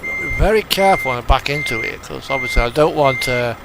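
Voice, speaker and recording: Animated man over clear vehicle noise. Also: medium pitch, fast talker. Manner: musing aloud, thinking through each step. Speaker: gamer narrating a truck driving simulator. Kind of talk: casual gameplay commentary. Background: truck engine and reversing beeps from a game.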